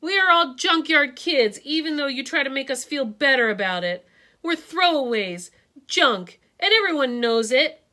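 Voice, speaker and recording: Storybook schoolboy, eager and pleading, raised bright voice, as a woman reader puts him on. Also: sits high, expressive, normal speed, voice pushed up. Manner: passionate, pleading. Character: earnest hopeful schoolboy, dreamer.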